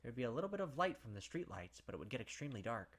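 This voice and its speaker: A young man reading a visual novel aloud in a dramatic first-person voice, breaking off for excited asides and commentary as a let's play gamer. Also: mid-range voice, racing.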